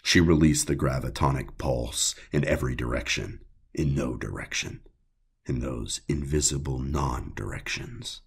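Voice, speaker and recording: A man reads dramatic horror fiction in a bottomless, gravel-filled voice. He takes it slowly and gravely, giving the scripted prose a deliberate weight. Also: very deep voice. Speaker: audiobook narrator.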